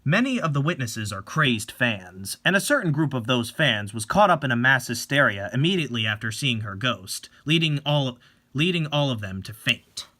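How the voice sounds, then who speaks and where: A man's voice: medium pitch, big pitch swings, normal speed, bright, forceful tone. A man playing a serious television news presenter, speaking formally and earnestly.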